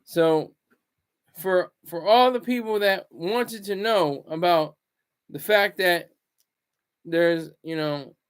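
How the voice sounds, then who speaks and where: A man hosting a casual solo commentary vlog, rambling and restarting his words, swinging between loud bursts and low asides. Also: slow pace, pauses often, clear, resonant tone. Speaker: commentary channel host.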